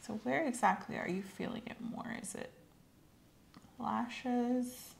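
Soft-spoken young woman, creaky ASMR examiner. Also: on the high side, slight background noise. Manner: gentle, measured, instructional. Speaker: medical examiner in an ASMR roleplay. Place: small room set up as an exam office.

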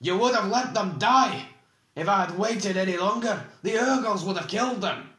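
A man's voice: high-pitched, animated, racing, bright, forceful tone, roomy sound, voice pushed up, heavily acted. A man voicing a blunt, hot-tempered, defiant male dwarf who roars indignantly in an audiobook story.

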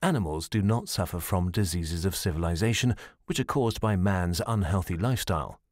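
A man reading scripted documentary narration in a measured, formal and serious way, as a studio voice-over. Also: deep voice, a little mellow, no echo.

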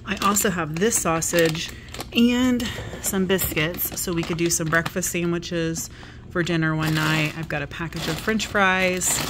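A woman's voice: fairly low pitch, background noise. Chatty Southern woman in her kitchen. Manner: chatty, upbeat, lots of ums and self-corrections. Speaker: vlogger. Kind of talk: casual chat. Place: home kitchen, indoors. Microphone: phone held close at arm's length. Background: dishwasher running.

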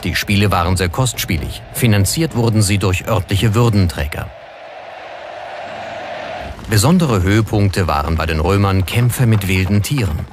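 A man's voice: on the low side, normal speed. A man reading scripted documentary narration as the narrator. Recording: music behind the voice.

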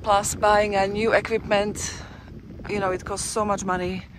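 A woman talking to her camera as she strolls outside, chatty and rambling, stopping to restart her sentences. Her voice sits at an ordinary middle pitch. Faint street noise and the odd passing car sit behind her. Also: level tone, a little slow. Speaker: travel vlogger. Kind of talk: casual walking-tour vlog commentary. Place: outdoors on town streets. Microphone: handheld camera while walking.

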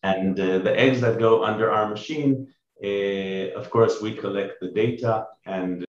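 A middle-aged man with a low voice presents like a company chief pitching to investors. He explains things in measured wording. The sound is muffled and roomy, as on a video call indoors. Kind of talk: business pitch and Q&A.

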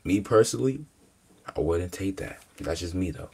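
Deep-voiced young man reacting casually. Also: lively intonation, moderate pace, vocal fry, darkish tone. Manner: laid-back, blunt asides. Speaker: reaction streamer.

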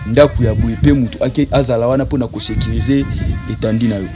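A man talks earnestly outdoors at an ordinary pitch, giving advice. Music plays clearly behind his voice. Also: fairly even intonation, fast talker. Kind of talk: interview statement.

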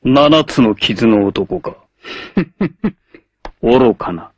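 An actor playing an arrogant, obsessive warlord villain in a 1980s anime, haughty, menacing and theatrical in delivery. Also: male voice, medium pitch, lively intonation, very slow, darkish tone, slightly rough, no room sound, muffled recording, full character voice. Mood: cold menace. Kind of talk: dramatic 1980s anime voice acting.

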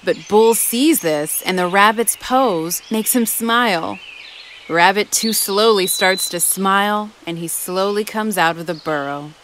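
A woman narrating an animated children's story in a clear, even storytelling manner. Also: medium pitch, a little slow, a little hiss or hum. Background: music.

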